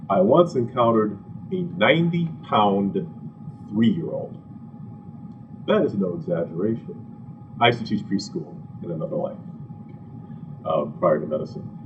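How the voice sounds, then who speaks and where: A man from Ghana giving an animated, conversational health lecture to a live audience, full of asides and rhetorical questions. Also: lowish voice. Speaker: physician giving a health talk. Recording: distant, dull, slightly noisy room recording.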